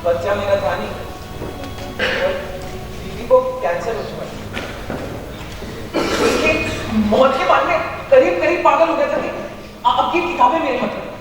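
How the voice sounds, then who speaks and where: A man's voice: extremely high pitch, normal speed, long pauses, over loud music. A man acting in a stage play as a humble retired accountant turned private detective, an adoring fan who speaks in an earnest, deferential, flowery way. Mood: pleading, earnest. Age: older. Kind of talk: theatrical Hindi stage acting. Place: stage in an auditorium. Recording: distant mic in echoey noisy hall.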